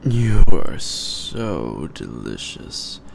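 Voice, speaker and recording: A suave, predatory male vampire in an audio roleplay, his voice extremely low and thick with gravelly fry. He speaks very slowly, taunting in formal, old-fashioned phrases, over a noisy home recording. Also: sing-song, full character voice. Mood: savoring, gloating. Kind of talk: roleplay audio drama monologue.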